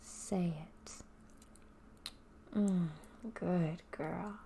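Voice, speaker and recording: Slow, whispering, dominant woman with vocal fry. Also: fairly low pitch, steady tone, dark tone, a little hiss or hum. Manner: breathy, often whispering, dominant and teasing. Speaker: performer of an audio roleplay. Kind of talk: intimate whispered audio roleplay addressed to the listener.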